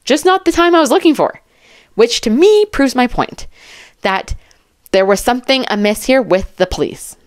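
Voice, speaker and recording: A woman's voice: a little high, expressive, normal speed, no room sound, clean studio sound. A woman giving casual true crime commentary, chatty and rambling, with an emphatic, informal way of talking.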